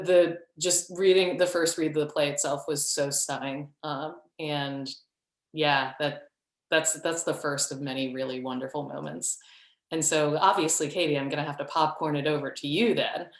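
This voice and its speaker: A woman speaking casually as a theater director on a panel, effusive but halting. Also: low voice. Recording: echoey room sound.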